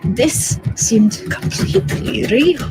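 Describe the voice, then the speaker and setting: A woman's voice: on the high side, animated, slow pace, over music. A woman playing a dramatic, frightened fraudulent spirit medium in an audio drama. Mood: unsettled.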